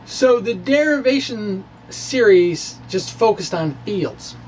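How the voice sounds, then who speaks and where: A middle-aged man with a fairly high voice lectures informally. He talks haltingly and hesitantly, slipping in wry asides as he explains. There is noticeable background noise in the recording. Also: rough recording. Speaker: physics blogger and lecturer. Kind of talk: informal physics lecture with derivations. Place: small indoor room with bookshelves. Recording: clear steady background noise.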